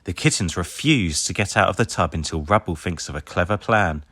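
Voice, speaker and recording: A man reading a children's story aloud in an expressive way, acting out the dialogue. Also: sits low, fairly quick, dry recording, clean studio sound. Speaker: storybook reader. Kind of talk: scripted children's story narration read aloud.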